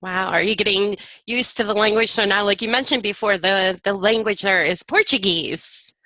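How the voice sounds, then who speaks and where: A woman podcast host from Ohio in casual interview chat, bubbly and quick to laugh and exclaim. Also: mid-range voice, bright, forceful tone, no room sound. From Ohio, USA.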